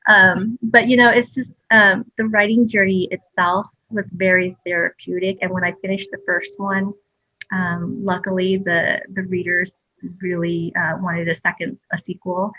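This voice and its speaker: A woman's voice: on the low side, level tone, normal speed, sharp tone, no room sound, lo-fi sound. A woman speaking casually as a guest novelist in a panel conversation. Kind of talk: casual chat.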